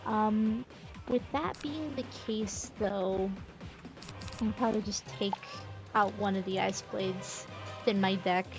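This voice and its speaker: A woman streamer giving casual, easygoing gameplay commentary, thinking aloud as she plays. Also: mid-range voice. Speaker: game streamer. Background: game music.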